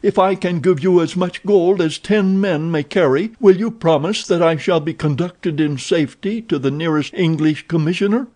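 A man's voice: fairly high pitch, normal speed, few pauses, warm tone, pitched above the performer's own voice, lightly acted. A man voicing a desperate Belgian captive who urgently pleads for his freedom, his voice raised. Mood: pleading.

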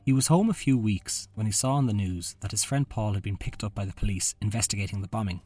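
A man's voice: fairly low pitch, quick pace, a little mellow, breathy edge, no echo, faint music behind. A man hosting a podcast, reading a scripted true-crime narration. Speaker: podcast host.

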